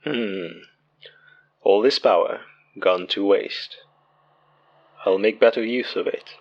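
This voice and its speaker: A withdrawn man with quiet menace in a horror audio drama. He speaks slowly and broodingly. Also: on the low side, gravelly, muffled recording, heavily acted. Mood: coldly menacing.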